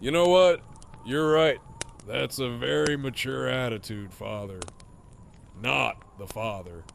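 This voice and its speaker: Man playing sardonic middle-aged villain, gravelly fry, taunting drawl, audio drama, faint noise behind. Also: medium pitch, takes it slowly. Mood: smug, mocking. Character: mocking, foul-mouthed middle-aged male antagonist, gleefully needling.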